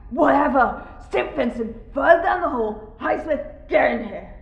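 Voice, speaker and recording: Female, brusque audio-drama prison guard: fairly high, gravelly fry, no patience. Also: lively intonation, slow, reverberant, dull audio, full character voice. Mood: brusque exasperation. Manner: brusque, bossy. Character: brusque, exasperated female solitary-wing guard.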